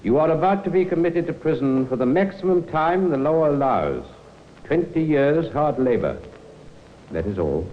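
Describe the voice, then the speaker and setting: An actor playing a stern, lofty judge passing sentence formally in an old time radio drama. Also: male voice, a little high, lively intonation, lightly acted. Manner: formal, measured, severe. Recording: muffled old radio recording with hiss.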